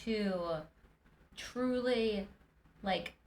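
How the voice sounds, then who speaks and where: A woman hosting a podcast, upbeat and animated, laughing often in casual conversation. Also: on the high side, drawn-out words, a little hiss or hum. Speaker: podcast host, business owner.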